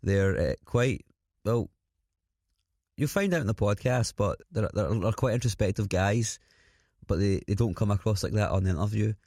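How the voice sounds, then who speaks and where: A man with a slightly raspy, scratchy voice hosts a podcast in an informal, self-deprecating, rambling way with some swearing. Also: fairly low pitch, normal speed, darkish tone, no echo, studio quality. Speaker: podcast host. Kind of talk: casual podcast introduction.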